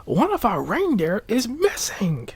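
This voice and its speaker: A man voicing a little old elf, dutiful and hesitant, speaking softly in a bedtime story. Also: sits high, wildly swooping pitch, a little slow, breathy edge, pitched above the performer's own voice, heavily acted. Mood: worried.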